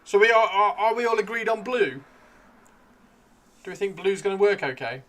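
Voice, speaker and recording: A man hosting a casual live stream, chatting excitably and repeating his phrases, with his voice starting to give out. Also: high voice, moderate pace. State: losing his voice. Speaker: livestream host. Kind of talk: casual live stream chat while painting miniatures.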